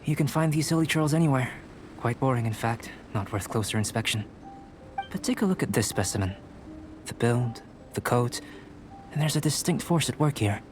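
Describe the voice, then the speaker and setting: An actor plays a detached, scholarly young male alchemist in a video game. He speaks in a medium-pitched voice, measured, formal and analytical, with clear music underneath. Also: lively intonation, close to an everyday voice.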